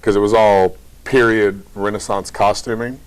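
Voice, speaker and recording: A young man from Kentucky with a very deep voice, chatting casually in a TV interview as a theater director. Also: moderate pace, clean tone, low-quality recording. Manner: relaxed, chatty, light laughs.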